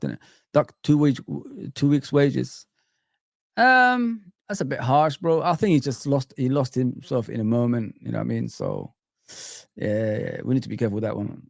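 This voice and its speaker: Young male streamer, gravelly, dry close mic, laid-back rambling chat. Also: mid-range voice, no room sound. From Somalia. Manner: relaxed, rambling, calls viewers bro. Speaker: live stream host. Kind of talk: casual live chat reading comments.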